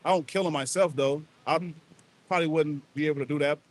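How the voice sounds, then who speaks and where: Quick, hesitant man from Ohio. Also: on the high side. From Ohio, United States. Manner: hesitant, rambling, lots of ums. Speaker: passerby being interviewed. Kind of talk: casual street conversation. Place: outdoors at a beach.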